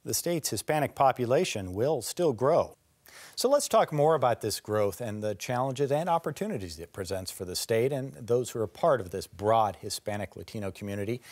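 A middle-aged male host introduces the program and puts questions to his studio guests. He speaks in a measured, clear way at an ordinary mid pitch. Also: darkish tone. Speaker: TV news host. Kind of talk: scripted presenting and interview questions. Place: TV studio set. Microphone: lapel.

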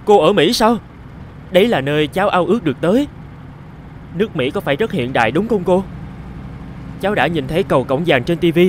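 High-pitched poor teenage boy acted by a man. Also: lively intonation, brisk, long pauses, a little hiss or hum, lightly acted. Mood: wistful, eager. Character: poor teenage boy, eager, resentful, dreaming of America. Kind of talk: animated moral fairy-tale dubbing.

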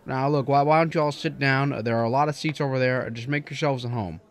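A man's voice: medium pitch, steady tone, close to an everyday voice. Actor as a stammering, earnest young small-town man. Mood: anxious, placating. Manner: stammering, halting. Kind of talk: old-fashioned radio drama acting.

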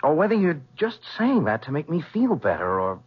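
A young, earnest son in a vintage radio drama, protective and ready to argue. His husky voice moves animatedly up and down, on a muffled old recording. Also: a little high, huge pitch swings, normal speed, heavily acted. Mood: pleading, concerned. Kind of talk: 1970s radio drama acting.

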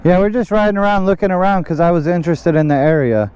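A man's voice: high-pitched, quick pace, clean tone. A man giving offhand, slangy vlog commentary, thinking aloud as he rides, into a helmet mic. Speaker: motovlogger. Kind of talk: casual motorcycle vlog commentary. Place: outdoors, riding a motorcycle. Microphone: mic inside motorcycle helmet. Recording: muffled helmet mic, loud engine and wind noise. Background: loud motorcycle and traffic noise.

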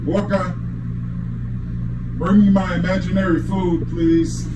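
A woman's voice: lowish voice, flat delivery, slow pace, roomy sound, noisy background. A woman bantering playfully with her family while they walk through a vintage train car. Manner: playful, joking. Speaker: vlogger.

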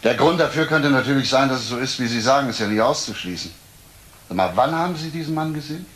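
A man playing a patient police detective in a German crime radio play, deliberate and matter-of-fact. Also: mid-range voice, lively intonation, fairly bright tone, lightly acted. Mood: methodical. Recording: roomy with faint noise.

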